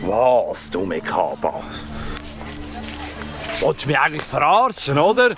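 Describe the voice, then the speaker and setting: A man with a Swiss German accent playing a gruff, accusatory bully who barks his lines in a children's radio play. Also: mid-range voice, huge pitch swings, measured pace, music in the background. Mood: angry. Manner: barking, accusatory. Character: gruff, irritable man who bullies passers-by. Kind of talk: Swiss German children's audio drama acting.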